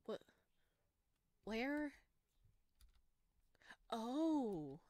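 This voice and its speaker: A woman with a fairly high voice hosts a visual novel playthrough, reading the story aloud and adding gushing, expressive reactions. The sound is close and dry. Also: very slow, no room sound. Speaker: streamer playing a visual novel. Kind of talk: game playthrough with read-aloud narration and excited reactions.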